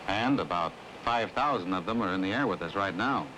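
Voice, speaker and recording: An earnest 1960s American air traffic official in an educational film. His low voice moves in lively swings as he explains things in measured, affable fashion. The old soundtrack carries faint noise. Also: poor audio. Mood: explaining. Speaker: actor as air traffic specialist. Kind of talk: scripted 1960s educational film dialogue and narration.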